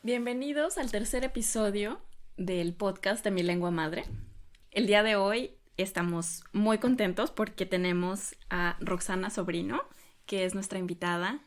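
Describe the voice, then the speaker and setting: A woman hosts a podcast and talks in a middle pitch. She asks her guest questions in a casual, friendly way, with the odd filler and restart. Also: measured pace. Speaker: podcast host. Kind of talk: scripted intro, then casual interview.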